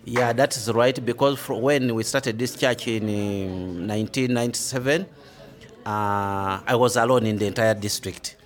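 A man's voice: on the low side, fairly even intonation, moderate pace. A man from Uganda with a Ugandan accent, a pastor answering interview questions in earnest, plain words. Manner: plain, earnest, repeats "we are blessed".

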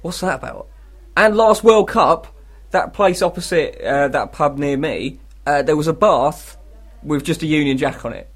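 A man's voice: a little high, moderate pace, a bit bright, slightly rough. A man with a British accent co-hosting a radio show, chatting casually in a jokey, rambling way. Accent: British English. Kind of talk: casual comic chat.

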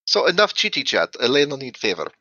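A man's voice: mid-range voice, huge pitch swings, quick pace, pushed and bright, dry recording, muffled recording, pitched below the performer's own voice, heavily acted. A man voicing a blunt, pushy Russian woman mercenary who speaks broken English.

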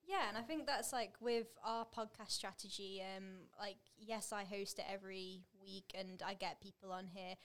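A woman's voice: medium pitch, fairly even intonation, moderate pace, hardly any pauses, fairly bright tone. A woman hosting a marketing podcast, chatty and eager, peppering her talk with "like" and easy laughter. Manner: chatty, lots of "like" fillers, giggly and eager. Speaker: podcast host. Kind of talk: casual podcast interview.

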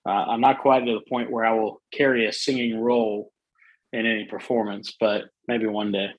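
A middle-aged man talks eagerly in a casual interview, his soothing voice a little husky. The sound is dry and close, with no room behind it. He restarts often and fills pauses. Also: fairly low pitch, level tone, no echo, dull audio. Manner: enthusiastic, many fillers and restarts. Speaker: guest.

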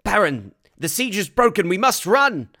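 A man's voice: sits high, very animated, normal speed, sharp tone, pitched above the performer's own voice, exaggerated voice. A man voicing an elf warrior who gives urgent commands.

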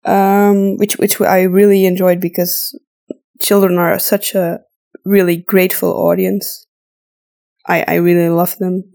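A woman's voice: mid-range voice, a little mellow. A woman from Flanders with a Flemish accent, a native Dutch speaker, chatting casually and self-deprecatingly as a podcast host answering listener questions. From Flanders, Belgium. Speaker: podcast host and audio drama voice actor.

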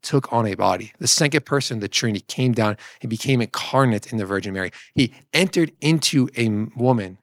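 A man's voice: mid-range voice, fairly quick, hardly any pauses, no room sound. A man giving conversational Bible teaching, explaining step by step and often checking in with a "right?". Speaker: discussion co-presenter teaching theology.

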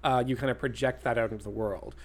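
A podcast co-host chatting casually, giggly, saying "like" constantly and breaking into loud laughter. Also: mid-range voice, steady tone, fast. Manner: giggly, heavy use of "like", bursts of loud laughter. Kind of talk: casual chat.

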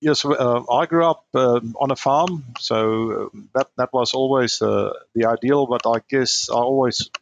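A man with a southern African accent, speaking as a podcast guest in a measured, polite way with small hesitations. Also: medium pitch, creaky, lo-fi sound. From Namibia. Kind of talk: casual podcast interview.

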